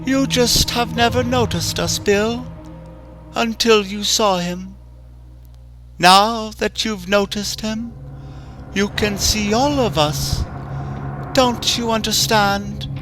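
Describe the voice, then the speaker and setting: A man voicing a sadistic, playful female clown who eats her victims, speaking in a soft, teasing, sing-song way over background music. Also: sits high, slow, pauses often, pitched above the performer's own voice. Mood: sadistic glee. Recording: music bed under voice.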